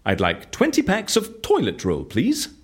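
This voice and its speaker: A man's voice: high-pitched, wildly swooping pitch, unhurried, a little hiss or hum, exaggerated voice. A man in an audio drama playing an eccentric, suave, flippant fixer with a breezy, drily playful manner. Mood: breezy, businesslike. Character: eccentric, suave, flippant male fixer who disappears people.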